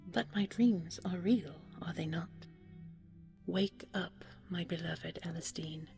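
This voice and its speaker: A woman voicing a devoted, brave young princess in a bedtime fairy tale, speaking softly and lovingly with a breathy touch. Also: on the low side, slow pace, soft background music. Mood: dreamy, loving.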